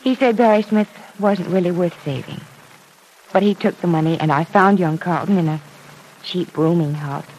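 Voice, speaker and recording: A woman's voice: on the low side, normal speed, darkish tone, a little hiss or hum, close to an everyday voice, low-quality recording. An actress playing a kind, well-off young woman in a 1940s radio drama, explaining things in an earnest way.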